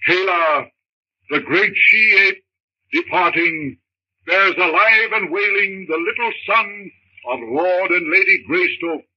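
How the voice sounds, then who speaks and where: An actor playing a solemn, declamatory narrator of a jungle adventure in a 1930s radio drama. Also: male voice, high-pitched, slow, pushed and bright, breathy edge, heavily acted. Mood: somber. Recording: noisy, dull scratchy 1930s radio recording.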